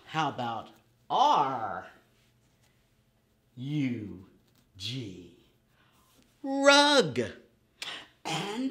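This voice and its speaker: A middle-aged man hosting a preschool storytime, teaching and reading in a theatrical, drawn-out way with gasps and dramatic pauses. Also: mid-range voice, fairly bright tone. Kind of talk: children's storytime lesson with expressive poem reading. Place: indoor set with painted forest backdrop.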